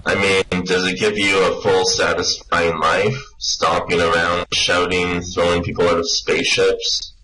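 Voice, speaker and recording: A man plays a wry, unflappable space traveller in a comic sci-fi radio play, coaxing and sardonic. The voice is deep with a bright, forceful edge, over a faintly noisy, clicky recording. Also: little pitch movement, measured pace, few pauses. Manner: persuasive, sardonic, improvising.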